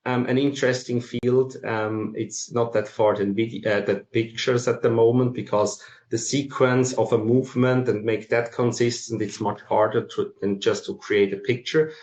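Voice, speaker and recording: A man with a Swiss accent giving an explanatory presentation in a halting way, with frequent ums. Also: medium pitch, fairly even intonation, a little mellow. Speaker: masterclass presenter. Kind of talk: explanatory conference presentation for non-technical listeners. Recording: roomy, echoing sound.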